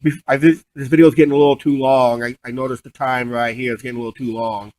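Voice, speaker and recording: A man telling stories casually and unscripted, halting and often repeating himself as he restarts sentences. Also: mid-range voice, flat delivery, darkish tone, dry recording, low-quality recording. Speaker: vlog co-host.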